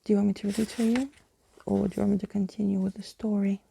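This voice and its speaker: A woman reading a children's story aloud in a soft ASMR style, often dropping into whispers. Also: mid-range voice, flat delivery, quick pace, vocal fry, slight background noise.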